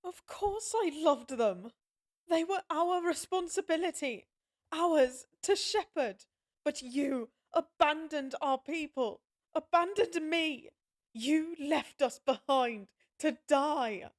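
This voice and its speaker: Casting: forsaken, guilt-ridden goddess played by a woman, higher voice, unhurried halting delivery, emotional audio-drama acting. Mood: hurt, accusing. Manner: halting, emotional, earnest pleading.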